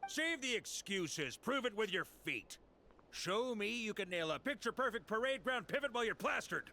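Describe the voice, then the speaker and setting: An actor playing a hearty, rugged knight commander in a video game, jovial and philosophical with a rousing, theatrical manner. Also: male voice, high voice, expressive, normal speed, bright, forceful tone, full character voice. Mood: commanding. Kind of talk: dramatic video game voice acting. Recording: music behind the voice.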